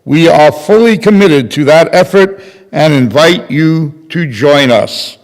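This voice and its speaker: A man's voice: fairly high pitch, slow. An older man giving a formal reading of a written statement at a synagogue podium. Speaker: readers theater performer. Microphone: podium microphone on a boom. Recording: reverberant hall.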